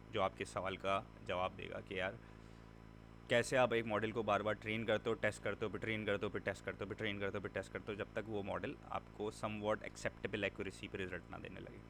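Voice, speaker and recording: A man's voice: on the low side, gravelly, fairly bright tone, slight background noise. A man teaching a machine learning class in a conversational way, mixing in English words and repeating points for emphasis. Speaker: instructor. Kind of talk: classroom lecture.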